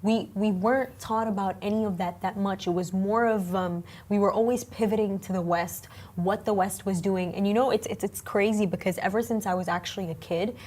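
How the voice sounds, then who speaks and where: A woman in her twenties with a mid-range voice, co-hosting a studio chat. She talks in a lively, animated way and leans heavily on "you know" and "um". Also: mid-range voice, brisk, few pauses. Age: 20s. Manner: chatty, animated, many fillers like "you know". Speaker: co-host.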